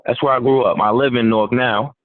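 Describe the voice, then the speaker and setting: A man's voice: mid-range voice, fairly even intonation, quick pace, no room sound. A man from New Jersey introducing himself to a class, hesitant and correcting himself as he goes. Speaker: student. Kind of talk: self-introduction. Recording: dry, tinny phone-like sound.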